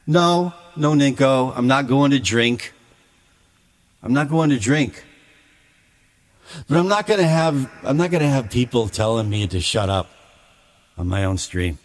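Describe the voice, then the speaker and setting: A man's voice: mid-range voice, animated, unhurried, long pauses. A man streaming live while making music, talking casually to his chat in a rambling, aggrieved way with lots of stammered restarts. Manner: grumbling, aggrieved.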